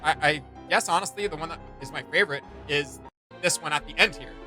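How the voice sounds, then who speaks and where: A man's voice: on the high side, fairly quick, pushed and bright, soft background music. A man hosting a casual reaction commentary, speaking informally with slang and often searching for his words. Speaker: reaction video host.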